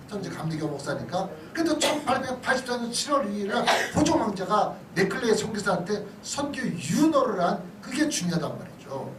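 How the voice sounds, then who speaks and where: A male pastor giving a conversational history lecture, chatty and often checking in with listeners through questions and asides. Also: high-pitched. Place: church hall lecture. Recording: echoey hall, distant mic, noisy background.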